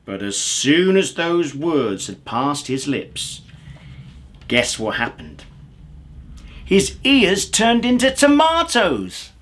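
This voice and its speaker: A man reading a children's story aloud as an expressive storyteller. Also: on the high side, takes it slowly. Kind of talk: animated children's story narration.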